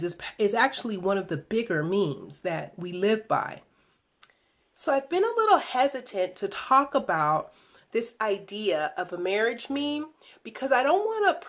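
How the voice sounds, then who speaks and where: Woman video blogger, measured and deliberate. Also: mid-range voice, a bit bright. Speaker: video blog host. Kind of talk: reflective monologue to camera about relationships.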